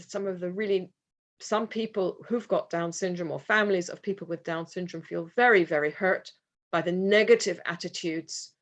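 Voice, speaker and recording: A woman speaking as a parent at a conference, giving a reflective presentation with slides, pausing and restarting as she goes. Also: lowish voice. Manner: earnest, reflective, with pauses and restarts. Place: conference hall.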